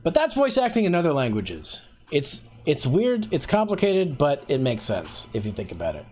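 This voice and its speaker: Chatty self-deprecating man, lively voice. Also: a little high, moderate pace. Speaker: voice acting workshop host. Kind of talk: casual livestream teaching.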